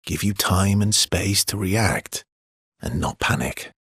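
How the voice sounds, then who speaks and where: A brooding but tender man in naturalistic game dialogue. His low voice is very husky and breathy, sitting close and dry, and he speaks in an understated, halting, intimate way with a gently teasing edge. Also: lively intonation, dry recording, exaggerated voice. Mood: calm, reassuring. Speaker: video game voice actor.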